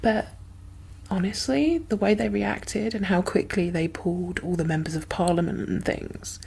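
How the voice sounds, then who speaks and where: A woman playing an anxious, caring zombie apocalypse survivor in a soft-spoken, half-whispered ASMR roleplay, hesitant and musing, with breathy sighs. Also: medium pitch, exaggerated voice. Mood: hesitant, concerned.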